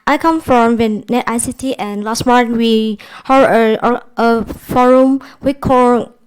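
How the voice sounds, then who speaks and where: A young woman from Vietnam gives a workshop presentation. Her voice is very smooth and clear and sits a little high. She has a Vietnamese accent and speaks halting, non-native English. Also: fairly even intonation, rough recording. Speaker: youth forum presenter.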